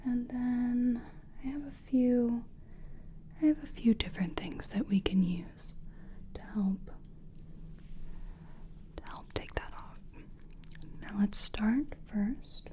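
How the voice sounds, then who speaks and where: A woman whispering in a breathy, gentle and soothing way, as a practitioner in an ASMR personal attention roleplay. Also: on the high side, slight background noise. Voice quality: breathy, mostly unvoiced whisper. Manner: gentle, soothing, deliberate, reassuring. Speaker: ASMR performer playing a soul-cleansing practitioner.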